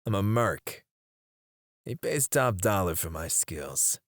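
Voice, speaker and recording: A man voicing a menacing male mercenary interrogator in an ASMR roleplay, speaking in a low, taunting way close to the mic, sometimes dropping to a whisper. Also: big pitch swings, slow, no room sound, exaggerated voice. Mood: mocking.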